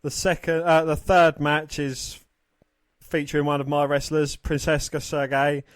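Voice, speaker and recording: Guy co-hosting a casual sports podcast, highish voice, bit stumbly, dry close sound. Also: flat delivery, unhurried, no echo. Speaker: podcast co-host.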